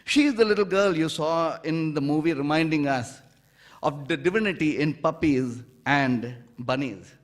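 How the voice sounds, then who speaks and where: A man giving a formal stage introduction as event presenter, speaking in a measured, ceremonious and flowery way. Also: on the high side.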